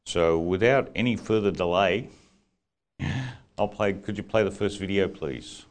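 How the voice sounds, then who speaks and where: A man with a croaky voice leading a congregation in earnest prayer and teaching. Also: low voice, unhurried. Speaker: congregation leader.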